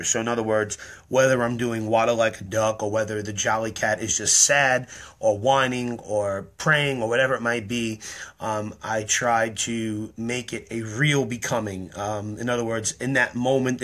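Man in his thirties, a little hoarse and tired, rambling earnestly to a close webcam in a small room. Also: mid-range voice, a bit bright. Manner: earnest, rambling explanation with many ums. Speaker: online performer explaining his act. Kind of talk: casual talk to camera. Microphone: webcam or phone at close range.

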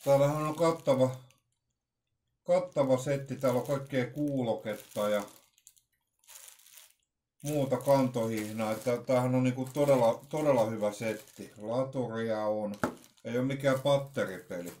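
A man's voice: mid-range voice, pauses often, dark tone, a little hiss or hum. Hesitant middle-aged man in an echoey room. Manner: hesitant, rambling, repeats words. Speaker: vlogger presenting an unboxing. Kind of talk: casual unscripted product unboxing talk. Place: small room at home, indoors. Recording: roomy, echoey home recording.

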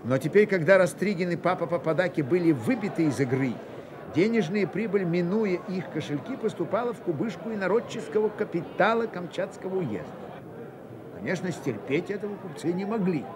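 A man narrating a dramatised novel for Soviet radio theatre in a measured, expressive storytelling manner. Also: high-pitched, background noise. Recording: 1980 radio play, crowd behind.

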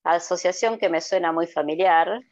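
A woman's voice: on the low side, normal speed, no echo, lo-fi sound. A middle-aged woman, explaining on a podcast over a video call, with frequent hesitant fillers. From Argentina. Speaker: guest presenter. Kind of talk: conversational podcast talk.

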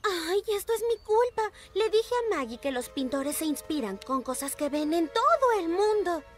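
A woman voicing an upbeat, confident girl leading her friends in an animated cartoon, eager and encouraging. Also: very high-pitched, normal speed. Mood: worried. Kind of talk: animated cartoon dub acting. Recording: music bed behind the voice.

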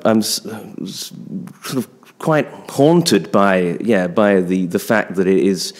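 Male academic, middle-aged, slightly low pitch, hesitant seminar delivery, loosely spoken. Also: a little mellow. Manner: hesitant, halting, thinking aloud. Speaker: academic speaker responding to a paper. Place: indoor seminar room at a lectern. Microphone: gooseneck lectern microphone.

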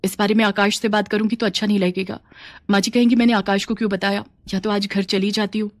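A woman's voice: mid-range voice, flat delivery, quick pace, dry recording, close to an everyday voice. A woman voicing a meek, anxious young newlywed daughter-in-law in a family story. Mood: worried.

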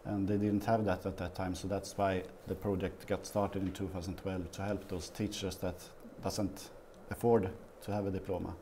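A Swedish man in his fifties, a guest in a small TV studio interview, talking haltingly with many fillers. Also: low-pitched, little pitch movement, warm tone, slight background noise. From Sweden. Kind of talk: casual interview.

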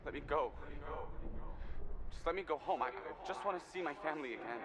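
An actor playing a frightened captive in a horror game, distraught and begging in a husky voice. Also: male voice, a little high, animated, brisk, fairly bright tone. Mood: desperate pleading. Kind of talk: dramatic horror game acting.

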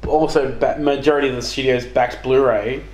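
A man's voice: medium pitch, fairly even intonation, fairly quick, a little mellow. A young man interviewing in casual chat, throwing in teasing, sweary interjections. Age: young adult. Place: small living room, on a couch. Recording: echoey room with background noise.

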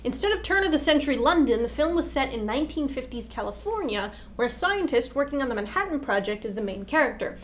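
A young woman narrates a scripted explainer as co-host. Her voice is high-pitched, and a faint noise sits behind it. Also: very animated, quick pace, few pauses. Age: young adult.

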